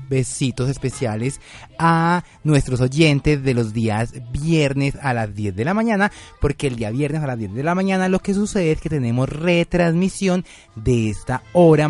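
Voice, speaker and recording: A man's voice: mid-range voice, a little mellow. Colombian guy from medellin hosting community radio, chatty and affectionate, mid voice, bit of music under it. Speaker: radio host.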